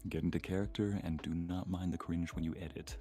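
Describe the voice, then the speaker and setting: A man's voice: low-pitched, fairly even intonation, brisk, dark tone, soft background music. A man chatting casually as a guest on an ASMR livestream, playfully acting out little imagined quotes.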